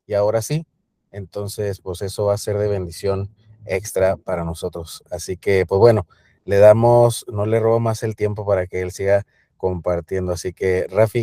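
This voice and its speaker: Low mellow guy introducing a speaker on a call, lots of ums, cracks jokes. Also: no room sound, clean studio sound. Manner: hesitant, lots of fillers, joking. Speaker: program host.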